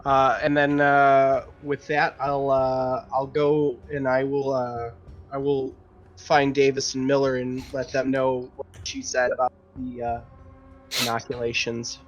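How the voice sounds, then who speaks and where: A man's voice: mid-range voice, flat delivery, faint music behind. Hesitant male tabletop player, slightly muffled. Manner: hesitant, halting. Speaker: player in tabletop roleplaying game. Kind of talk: improvised in-character roleplay.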